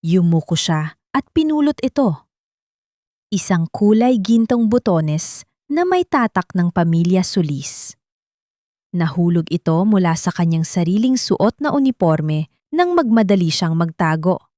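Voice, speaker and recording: A woman's voice: lowish voice, big pitch swings, unhurried, dark tone, dry recording, studio quality. A woman narrating a scripted audio drama in a dramatic storytelling style.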